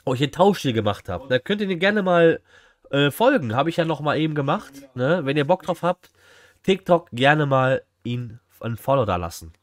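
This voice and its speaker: A man's voice: on the high side, very animated, moderate pace, dry recording. A young man chatting casually and informally as a live streamer reacting from a room at home, with lots of slang. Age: young adult. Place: small indoor room at home.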